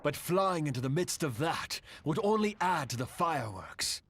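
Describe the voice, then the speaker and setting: A man playing a weary, frank engineer in a fantasy game, with a wry, expressive manner. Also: a little high, moderate pace. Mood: wry, worried. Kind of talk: scripted fantasy game acting.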